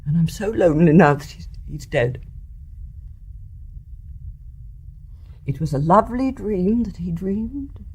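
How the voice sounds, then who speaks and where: A husky older British mother, grave. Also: on the low side, moderate pace, a little mellow. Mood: tender, sorrowful. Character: grave, resolute older English mother confessing her deed. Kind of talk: radio drama acting.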